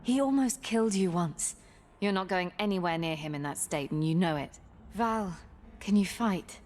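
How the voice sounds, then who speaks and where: A woman's voice: on the low side, moderate pace, crowd noise, close to an everyday voice. A woman voicing a resolute, protective warrior heroine in a video game, with a firm, earnest, caring manner. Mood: firm concern. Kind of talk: video game cutscene acting.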